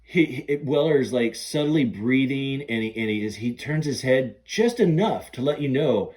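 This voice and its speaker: A middle-aged man hosting a podcast, chatting casually and gushing like an excited fan. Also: mid-range voice, normal speed. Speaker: podcast host. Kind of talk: casual chat between film fans.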